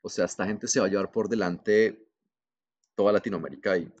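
A young Colombian man with a Colombian accent hosting a podcast, telling a travel story in a casual, profane, animated way and laughing along. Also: on the low side, a little fast, dull audio. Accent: Colombian Spanish. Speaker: podcast host.

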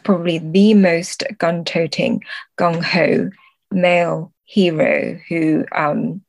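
Woman interviewee, lowish register, dry recording, reflective and halting, conversational delivery with self-corrections. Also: fairly even intonation, vocal fry, a little mellow, no echo. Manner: hesitant, searching for words, self-correcting. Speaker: podcast guest, translator and book editor. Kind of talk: thoughtful conversational interview answers.

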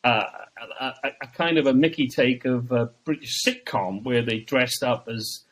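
Male guest, chatty and easygoing, mid-pitched, casual interview talk. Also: moderate pace, fairly bright tone. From Wales. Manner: chatty, laughs between anecdotes. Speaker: guest, tribute band singer.

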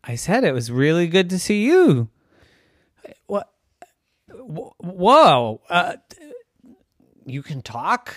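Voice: mid-range voice, sing-song, slow, long pauses, fairly bright tone, no room sound, clean studio sound, pitched above the performer's own voice. A storyteller voicing a kind, bewildered pet owner who is surprised and apologetic toward the pets.

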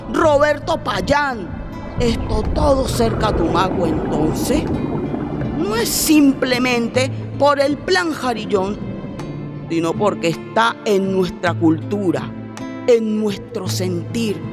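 A woman playing a defiant displaced mother and community leader in a radio drama, speaking in firm, insistent, declamatory protest. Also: medium pitch.

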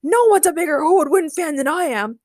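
A hot-tempered, boastful man bellows at his housemates, a woman acting him out in a comic story. The voice is loud and pitched high. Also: animated, brisk, no room sound, clean studio sound. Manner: loud shouting.

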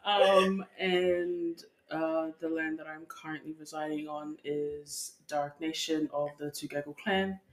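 A woman's voice: low voice, fairly even intonation, measured pace, hardly any pauses, reverberant, rough recording. A 21-year-old woman guest, hesitant with many ums, playful at times. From New Zealand. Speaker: podcast guest.

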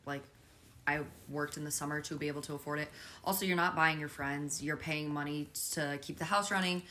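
A woman's voice: low voice, steady tone, fairly quick, pushed and bright, slight background noise. A young adult woman, a podcast guest, telling her story in casual chat with lots of fillers. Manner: earnest, filler-heavy storytelling.

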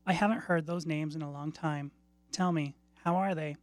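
A woman's voice: deep voice, steady tone, normal speed, no echo, faint music behind, lightly acted. Woman reading as a grieving old homeless man, stammering. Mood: mournful. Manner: stammering, self-blaming, sorrowful.

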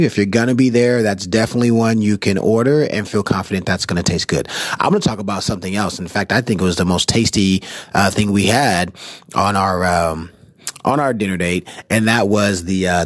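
A man's voice: fairly low pitch, no room sound, studio quality. A man casually reviewing restaurant dishes, playful and rambling. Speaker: food review co-host. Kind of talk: casual food review chat.

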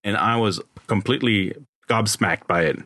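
A man's voice: lowish voice, normal speed, bright, forceful tone, no room sound, studio quality. A man in his forties who grew up in Honduras, a podcast guest chatting in a thoughtful way. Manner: thoughtful, many fillers and restarts. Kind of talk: casual chat.

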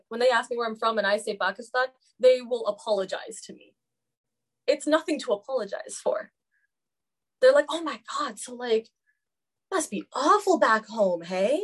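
A woman's voice: fairly high pitch, a bit bright. A young woman talking as a student guest in a casual podcast roundtable, speaking candidly with a lot of "like" fillers. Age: young adult. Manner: candid, chatty, many "like" fillers.

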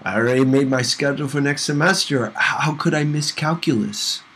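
A man voicing a quiet, guarded teenage boy in a novel, terse and matter-of-fact. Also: medium pitch, brisk, a little hiss or hum, lightly acted.

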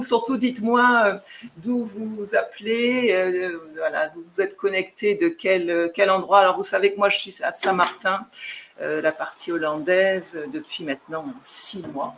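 A woman's voice: lowish voice, a bit bright, slight background noise, low-quality recording. A woman from France chatting on a live stream with her viewers, talking hesitantly with many fillers and restarts.

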